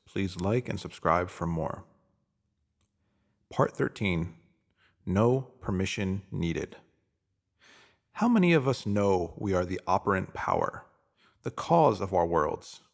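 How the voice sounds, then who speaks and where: A man reading a scripted motivational spiritual piece in a deliberate, emphatic manner, pausing to give phrases weight. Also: sits low, lively intonation, vocal fry. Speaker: narrator reading a spiritual self-help post.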